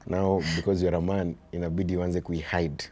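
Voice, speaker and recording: A man with a Kenyan accent and a very deep voice, talking earnestly as an interview guest about raising his sons, often dropping "you see" and shifting into Swahili. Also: little pitch movement, moderate pace, mellow. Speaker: guest, father of boys. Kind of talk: reflective conversational interview.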